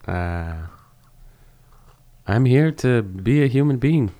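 A man from Israel, a musician, chatting easily as a podcast guest at a friend's home, agreeable and quick to laugh. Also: medium pitch, expressive, mellow. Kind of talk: casual interview chat. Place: host's home.

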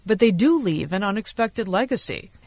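A woman's voice: medium pitch, animated, normal speed, darkish tone. A woman correspondent narrating a scripted radio news report. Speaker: foreign correspondent.

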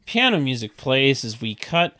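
A man narrating a script table read and bantering casually, with a deadpan, wry manner. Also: medium pitch, normal speed.

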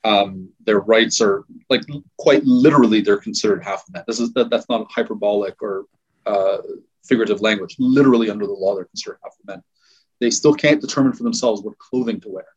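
A man from Iran talking as an interview guest, thoughtful and measured, often restarting and qualifying his words. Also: on the low side, breathy edge, dull audio. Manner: thoughtful, with frequent restarts and hedges. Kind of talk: conversational interview talk.

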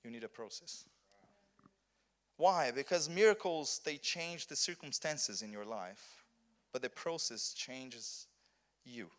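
A man with a Brazilian accent preaches a conference sermon in English, his second language. He is earnest and keeps restarting sentences and repeating words. His voice sits at an ordinary middle pitch. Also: animated, slow pace, long pauses. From southern Brazil. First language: Portuguese. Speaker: pastor.

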